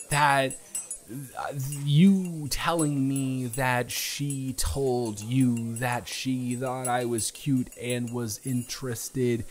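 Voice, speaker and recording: A young man playing an earnest, flustered romantic lead in an improvised audio roleplay, speaking in a halting, emphatic, stammering way. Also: mid-range voice, lightly acted. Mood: defensive, uneasy.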